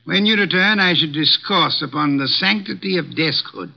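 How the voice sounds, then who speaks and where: Fussy bossy detective, gravelly and kinda high, old dull radio sound. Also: male voice, normal speed. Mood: imperious. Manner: pompous, fussy and imperious, dismissive. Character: fussy pompous portly male detective who never leaves home. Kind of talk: 1950s radio drama acting.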